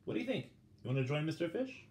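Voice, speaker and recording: A middle-aged man reading a children's picture book aloud with dramatic pauses, turning to the listeners with questions. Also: fairly high pitch, very animated, a little mellow. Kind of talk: expressive children's storybook read-aloud. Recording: roomy indoor sound with faint background noise.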